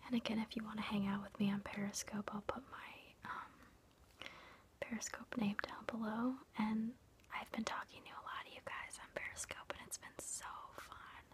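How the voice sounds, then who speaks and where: A woman whispering softly and breathily in a gentle, casual, reassuring way, as an ASMR host chatting and guiding a meditation into her phone. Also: medium pitch, flat delivery, normal speed. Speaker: ASMR video host leading a guided meditation. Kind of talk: whispered ASMR, soft informal chat and guided meditation.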